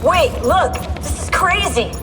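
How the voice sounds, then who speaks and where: An alarmed, high-voiced technician, echoing. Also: female voice, lively intonation, a little slow, music in the background. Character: alarmed female technician watching a patient's readings. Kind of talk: video game dialogue. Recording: distant, noisy echoing hall.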